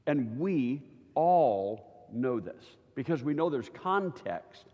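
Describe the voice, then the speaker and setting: Older male preacher, conversational teacher, mid pitch, recorded with long church-hall reverb. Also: very animated, slow pace, darkish tone, highs cut off. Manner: chatty, keeps checking in with listeners.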